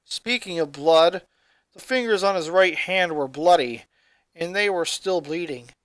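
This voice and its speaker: A man reading a story aloud as scripted narration, with dramatic, expressive delivery. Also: sits high, unhurried, no echo. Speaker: narrator, fan fiction reader.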